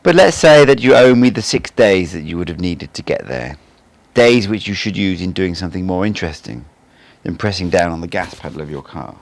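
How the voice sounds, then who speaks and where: A man voicing an old sorcerer teacher in an audiobook, wise and teasing, with a measured, cryptic and blunt way of talking. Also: low-pitched, lively intonation, vocal fry. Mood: mysterious, playful.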